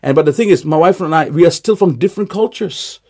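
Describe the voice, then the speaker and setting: A man's voice: a little high, quick pace, a little mellow. A man who is a pastor, speaking emphatically in a studio interview and asking rhetorical questions. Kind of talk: conversational interview.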